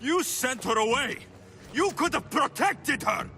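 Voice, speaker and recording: An actor playing a young Greek mercenary hero, blunt and grieving, angry in bursts and hushed in sorrow. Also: male voice, extremely high pitch, lively intonation, unhurried, faint music behind, exaggerated voice.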